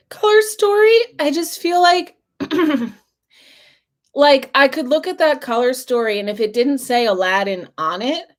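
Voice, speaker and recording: Husky, hoarse woman vlogger. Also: high-pitched. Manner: chatty, frequent throat clearing and fillers. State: hoarse, losing her voice. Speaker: beauty vlogger. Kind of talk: casual makeup review chat.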